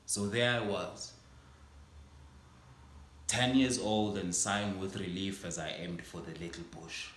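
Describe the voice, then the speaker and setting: Male actor as an old schoolteacher, somber theatrical monologue; deep voice, faint hiss, echoey room. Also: fairly even intonation, a little slow, pauses often. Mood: wistful. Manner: ranging from hushed reflection to impassioned outbursts. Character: aging male schoolteacher, proud and grieving. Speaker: stage actor performing a monologue.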